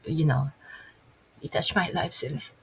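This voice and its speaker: A middle-aged woman with a Filipino accent, talking casually and haltingly to her channel with many restarts. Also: low-pitched, breathy edge, rough recording. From Philippines. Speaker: channel host. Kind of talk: casual unscripted video talk.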